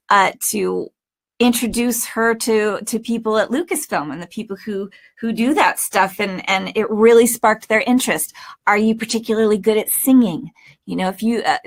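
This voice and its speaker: Woman, mid-range voice, voice acting coach, informal teaching talk, emphatic delivery, stressed pauses, frequent asides. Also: mid-range voice, fairly bright tone.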